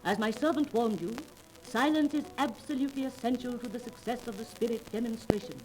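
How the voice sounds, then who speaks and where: A woman's voice: on the high side, brisk, a little mellow, close to an everyday voice, low-quality recording. A theatrical actress as a female spirit medium presides with solemn, grandiose authority. She speaks in the ceremonious manner of 1930s radio drama, through faint old-recording noise.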